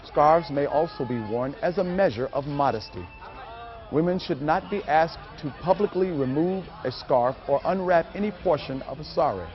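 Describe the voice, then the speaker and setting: A man's voice: a little high, moderate pace. A man reading scripted narration for a training film. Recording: muffled audio with music behind. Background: music.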